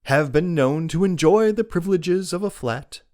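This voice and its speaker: An actor playing an urbane gentleman bachelor in a dramatized audiobook, indolently amused, polite and dryly teasing. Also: fairly high pitch, lively intonation, normal speed, natural voice. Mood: lightly teasing. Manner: dry, wry, polite.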